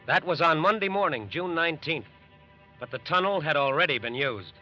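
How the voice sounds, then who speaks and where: A man with an American accent narrates like an old-time radio crime show. His fairly high voice is declamatory and serious. The vintage broadcast sound is dull and narrow. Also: expressive, brisk, loud music behind the voice. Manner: grave, emphatic, declamatory. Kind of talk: 1940s radio docudrama narration.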